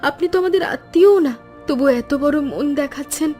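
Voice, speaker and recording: Woman voicing an earnest, high-pitched young granddaughter. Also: lively intonation, fast, mellow, soft background music. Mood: grateful. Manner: polite, earnest, speaks in full careful sentences. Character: earnest young college-age granddaughter at a paratha stall. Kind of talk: animated cartoon story dialogue.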